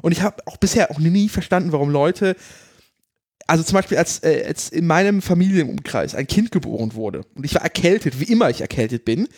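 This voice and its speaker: A man's voice: fairly high pitch, expressive, fairly quick, a little mellow, slightly rough, no room sound, studio quality. A man in casual chat, giving firm opinions and telling a personal story.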